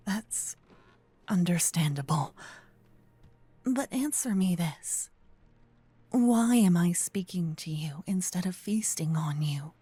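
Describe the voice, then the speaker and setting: A woman playing a gentle but possessive vampire kidnapper in an ASMR roleplay, speaking softly and intimately with frequent whispering, close to a binaural mic. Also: on the low side, lively intonation, dry recording, full character voice. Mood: tender, menacing. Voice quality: soft, breathy, often whispering. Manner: slow, intimate, earnest, dramatic pauses. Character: gentle possessive female vampire kidnapper, self-proclaimed protector. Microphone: close binaural microphone, ear to ear.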